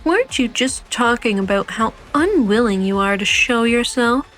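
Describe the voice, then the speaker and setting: Lady doing smug assassin woman, highish voice, teasing n mock sweet, game dub style, music under it. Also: lively intonation, moderate pace, a bit bright. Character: smug, taunting female assassin, mockingly sweet. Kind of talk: fan-dub game drama acting.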